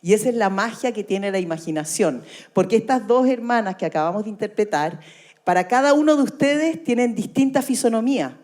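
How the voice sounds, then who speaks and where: A woman's voice: medium pitch, fairly quick, darkish tone. An older woman with a Chilean accent, an actress talking colloquially and telling anecdotes as a guest. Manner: colloquial, storytelling. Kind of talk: anecdotal conversational talk.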